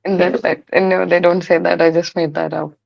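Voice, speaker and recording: A teenage girl with a deep voice and a sore throat, sarcastic and deadpan, who swears casually. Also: a little fast, gravelly. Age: late teens. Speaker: video chat stranger. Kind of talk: casual chat. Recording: lo-fi video chat audio.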